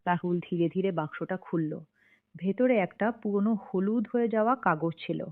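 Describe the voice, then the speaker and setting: A woman giving scripted storybook narration for a cartoon in an even storytelling manner. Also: on the low side, moderate pace, phone-quality sound. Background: background music.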